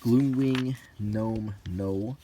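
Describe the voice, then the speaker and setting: A middle-aged man talks in a fairly low voice at a very unhurried pace. He gives casual commentary in short, halting phrases, musing aloud as he browses. There is some background noise with small clicks. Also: warm tone. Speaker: host of a hobby channel. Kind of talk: casual unscripted commentary while leafing through a book.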